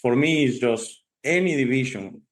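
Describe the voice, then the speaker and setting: A man from Italy hosting an interview, talking earnestly and repeating phrases for emphasis, his pitch jumping up on key words. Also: normal speed. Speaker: show host.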